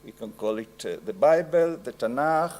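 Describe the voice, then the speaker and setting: A middle-aged man with an Italian accent giving an academic lecture, slow and halting with frequent pauses. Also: medium pitch, lively intonation, darkish tone.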